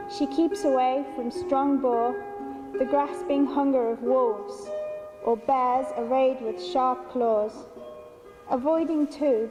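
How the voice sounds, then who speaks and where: Female poetry reader, high pitch, unhurried pace, deliberate short phrases. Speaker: translator reading their own translation. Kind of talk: measured literary poetry reading. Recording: long hall reverb with music.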